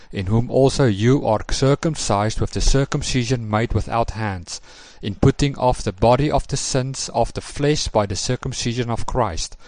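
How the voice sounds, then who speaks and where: A man in a recorded lesson presentation, reading scripture passages in a measured, formal way. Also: medium pitch, a little mellow, no echo.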